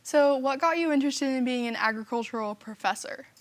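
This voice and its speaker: A woman's voice: sits high, fairly quick. A young woman, a college student hosting an interview, asking questions in an upbeat manner. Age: young adult. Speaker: student host.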